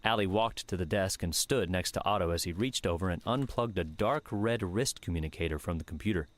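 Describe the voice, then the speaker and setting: A man narrating an audiobook in a measured, steady reading manner. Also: low-pitched. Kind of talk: scripted narration.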